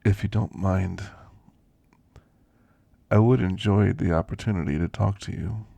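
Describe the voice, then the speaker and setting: Guy doing a creaky old grandpa werewolf, asmr roleplay, gentle n teasing, super close dry sound. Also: sits low, steady tone, no echo, heavily acted. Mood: gentle, friendly. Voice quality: gravelly, creaky. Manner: unhurried, folksy, gently chatty. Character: kindly, frail elderly male werewolf, teasing and grandfatherly. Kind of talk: ASMR roleplay, intimate character monologue.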